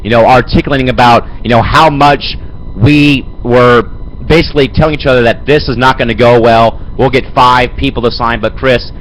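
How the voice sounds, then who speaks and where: A young man with a head cold and a raspy voice, hosting an amateur sports show and rambling with lots of fillers. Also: medium pitch, steady tone, normal speed, a bit bright, a little hiss or hum, poor audio. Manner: rambling, fills with "man" and "Chris". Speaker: host. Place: home studio.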